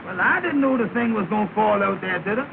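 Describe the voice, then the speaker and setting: Nervous naive sidekick in a 1930s movie comedy, really high voice, folksy talk, tinny and noisy old film sound. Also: male voice, lively intonation, quick pace, vocal fry, full character voice. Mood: flustered. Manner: folksy nonstandard grammar, plaintive.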